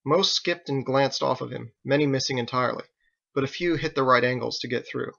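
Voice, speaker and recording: A man's voice: mid-range voice, a little fast, vocal fry, a bit bright, dull audio. A man reading his own novel aloud as dramatic audiobook narration.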